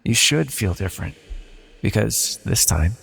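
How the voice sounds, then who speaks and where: An ancient godlike male villain, played by a man, speaks in a heavily creaking voice with a breathy edge. He is hushed and deliberate, coaxing the listener tenderly as he manipulates them. It is intimate ASMR fantasy roleplay. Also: lively intonation, big-room sound, full character voice. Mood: tender, teasing. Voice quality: gravelly, breathy low voice.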